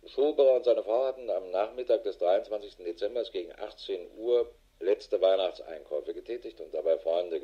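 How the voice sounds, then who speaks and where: An actor playing a harried, middle-aged police investigator in a radio drama, matter-of-fact and dry. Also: male voice, deep voice, highs cut off. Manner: matter-of-fact, dry, clipped official phrasing. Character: harried, world-weary male police investigator with a wife. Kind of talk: German radio drama acting, dictated report.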